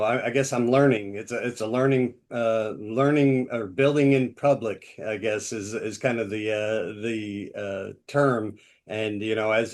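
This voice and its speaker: Low-voiced man thinking aloud. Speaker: builder of the AI trainer. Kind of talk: casual discussion.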